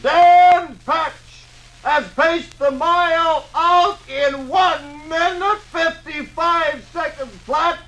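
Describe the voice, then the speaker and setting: Shrill, slow, excited announcer. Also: male voice, full character voice, low-quality recording. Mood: excited, proclaiming. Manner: drawn-out, declamatory announcing. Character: excited public announcer proclaiming winners and records. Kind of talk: 1950s radio dramatization, proclamation. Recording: 1950s radio production.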